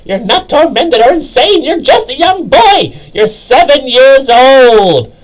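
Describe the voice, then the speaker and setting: A shrill, loud mother acted out by a man in a storybook reading. The voice is pitched very high and pushed hard, scolding with exasperated emphasis on every phrase. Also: sing-song, takes it slowly, heavily acted.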